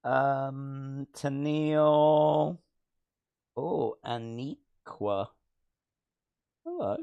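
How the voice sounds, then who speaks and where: A man co-hosting a podcast, chatting in an excitable, joking way. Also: medium pitch, extremely slow, gravelly, clean tone, dull audio. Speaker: podcast co-host. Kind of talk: casual podcast banter.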